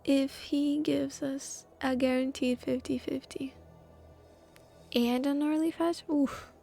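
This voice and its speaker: High-voiced woman streamer, slow and playful. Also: fairly even intonation, creaky, warm tone, faint music behind. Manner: playful, sweary, exclamatory. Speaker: game streamer. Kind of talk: casual live gameplay reaction chat.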